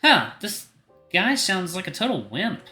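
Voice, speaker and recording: A man's voice: high voice, wildly swooping pitch, a little slow, pushed and bright, reverberant, faint music behind, pitched above the performer's own voice, full character voice. A man voicing a pouting, jealous teenage little sister in an audio drama, prickly and scornful. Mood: dismissive. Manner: scornful, sulky.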